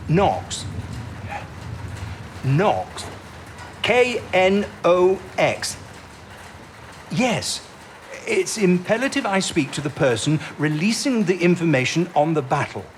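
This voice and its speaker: Actor as impatient, animated wartime codebreaking chief. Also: male voice, high-pitched, a little hiss or hum. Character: impatient male British wartime codebreaking chief, urgent and curt. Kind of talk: audio drama acting.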